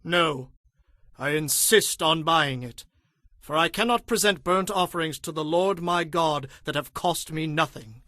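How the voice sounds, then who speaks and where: A man's voice: a little high, measured pace, sharp tone, no echo, clean studio sound. An actor playing a penitent king, earnest and pleading, in dramatized scripture audio. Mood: firm.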